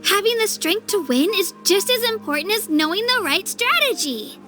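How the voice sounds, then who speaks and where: Earnest, high-voiced young girl. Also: very animated, normal speed, bright, forceful tone, soft background music, exaggerated voice. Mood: earnest, thoughtful. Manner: sweet, earnest. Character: earnest, slightly nervous young girl trainee. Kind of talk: video game voice acting.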